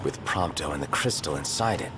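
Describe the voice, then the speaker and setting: A man's voice: very deep voice, lively intonation, a little fast, noisy background. A man playing a terse, determined young hero in a video game, speaking in clipped, understated lines. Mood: grim. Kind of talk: video game drama acting. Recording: loud music over the voice.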